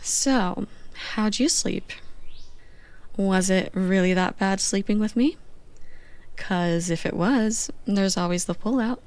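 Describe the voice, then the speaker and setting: A woman playing a playful, bossy young girlfriend on a slow morning in an intimate, conversational ASMR roleplay. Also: mid-range voice, darkish tone. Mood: playful teasing.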